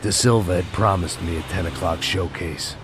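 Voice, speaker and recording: An actor playing a weary hard-boiled ex-cop, narrating in cynical, sardonic noir voice-over. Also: male voice, deep voice, normal speed, a little hiss or hum, close to an everyday voice. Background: music.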